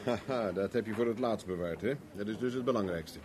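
An actor in a 1970s radio drama playing a flippant, sardonic private investigator who talks in dry, laconic, teasing replies. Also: male voice, lowish voice, a little fast, lightly acted. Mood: wry. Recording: slightly noisy old radio recording.

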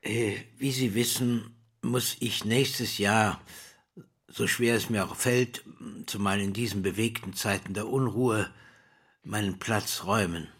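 An older male actor playing a courtly, flattering school principal in a radio drama, husky, formal and measured, with weighty pauses. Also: lowish voice, exaggerated voice. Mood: solemn, insistent. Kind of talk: German radio drama acting.

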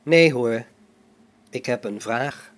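A man playing a newly activated robot in a science fiction radio play, polite, inquisitive and formal, with measured, deliberate phrasing. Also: mid-range voice, close to an everyday voice.

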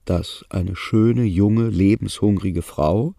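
Low gravelly male radio narrator. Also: warm tone, no echo. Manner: measured, sober storytelling with dry irony. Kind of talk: scripted radio drama narration.

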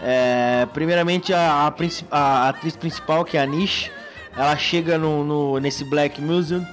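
A man's voice: a little high, fairly even intonation, measured pace, soft background music. Rambling man recapping. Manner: loose, rambling recaps with many restarts. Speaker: podcast host. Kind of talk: casual chat.

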